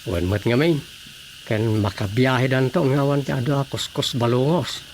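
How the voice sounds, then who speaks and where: A man playing a chatty, opinionated husband in a radio drama comedy, with an Ilocano accent and a gravelly voice. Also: mid-range voice, moderate pace, a little hiss or hum, close to an everyday voice.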